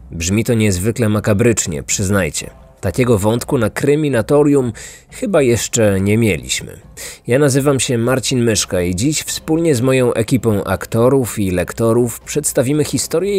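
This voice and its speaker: A man hosting a podcast, reading scripted true-crime narration like a measured storyteller. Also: mid-range voice, darkish tone. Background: faint music.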